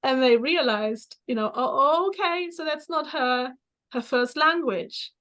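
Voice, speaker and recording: Higher-voiced middle-aged woman. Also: a little slow. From Germany, born in Poland. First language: German. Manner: animated, acts out remembered dialogue. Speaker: interview guest, therapist. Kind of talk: casual personal storytelling.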